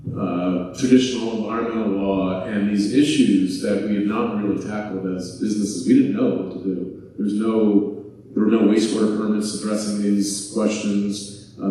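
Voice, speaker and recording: A man with a loud voice talks casually on a panel, dropping self-deprecating asides between restarts. He sounds far from the microphone in a very echoey event hall, with a faint ambient hum behind him. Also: lowish voice. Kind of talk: conversational panel talk. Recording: echoey room, far from mic.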